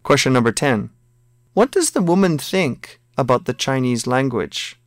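A man reads a language listening test, voicing one side of short scripted dialogues and then the numbered questions. His delivery is slow, careful and textbook-clear, at an ordinary mid pitch. The recording is dry and close, with no room sound. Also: lively intonation, no echo.

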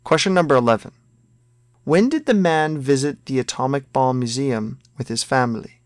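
A man's voice: mid-range voice, lively intonation, no room sound, clean studio sound. Man reading a listening test. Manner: slow, careful textbook reading. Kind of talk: scripted listening exam dialogue.

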